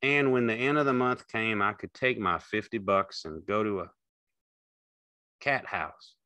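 A man voicing a weary, gruff ranch hand in an audiobook, terse and resigned, with speech. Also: medium pitch, sing-song, moderate pace, no echo, voice pushed up. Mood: wooden, resigned. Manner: terse, quiet, wooden.

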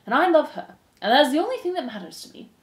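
A woman's voice: sits high, very animated, fast, roomy sound, pitched above the performer's own voice. A woman voicing a small young male mouse in a storybook, innocent, earnest and polite. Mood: dreamy, lovestruck.

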